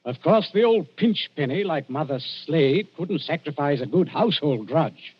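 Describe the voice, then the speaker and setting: An actor playing a blustering, shrewd Victorian London police inspector, gruff and emphatic, in 1940s radio style. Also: male voice, on the high side, expressive, normal speed, slight background noise, lightly acted. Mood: shrewd, triumphant. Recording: dull, lo-fi vintage radio broadcast.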